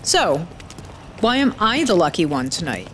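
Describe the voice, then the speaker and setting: A woman's voice: on the low side, very animated, moderate pace. A woman playing a sardonic, teasing young friend in casual audio drama dialogue. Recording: loud background noise.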